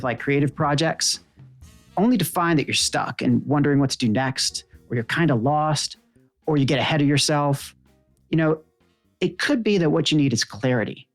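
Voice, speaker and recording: A man hosting a podcast in a casual, conversational style. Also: a little high. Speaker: podcast host.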